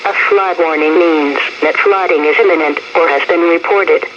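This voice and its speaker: A synthetic female voice with an American accent reads scripted emergency weather warnings in a formal, measured way as a radio announcer. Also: low-pitched. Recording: dull, noisy weather radio broadcast. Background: radio noise.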